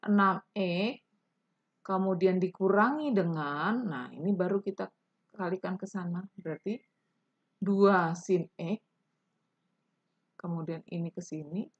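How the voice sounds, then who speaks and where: A woman's voice: lowish voice, husky, dull audio. A woman teaching a math lesson, explaining each step patiently and answering imagined student questions.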